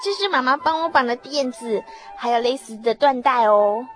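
A woman playing a sweet, excitable little granddaughter in a radio drama. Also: sits high, normal speed, faint music behind. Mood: excited, eager.

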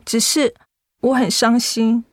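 A woman's voice: fairly high pitch, flat delivery, slow, no echo, clean studio sound. A woman playing a devoted young adult daughter in a radio drama, emotional and plaintive, reluctant to let go. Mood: upset, pleading. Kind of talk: Mandarin radio drama acting.

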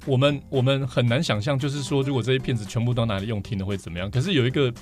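A man's voice: medium pitch, racing, a little mellow, soft background music. A man with a Taiwanese Mandarin accent, talking and explaining at length as a guest in a casual podcast interview. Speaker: podcast guest, vinyl record collector.

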